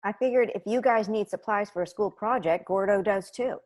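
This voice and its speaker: A woman's voice: medium pitch, brisk, natural voice. A middle-aged woman playing a cheerful, caring suburban mom in a comedic table read over a video call. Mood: friendly, casual. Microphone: wired earbuds on video call.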